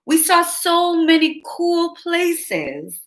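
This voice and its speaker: A woman's voice: very high voice, sing-song, very slow, fairly bright tone, pitched above the performer's own voice, exaggerated voice. A woman voicing a young cat brother from a children's storybook. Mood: cheerful. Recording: roomy echo.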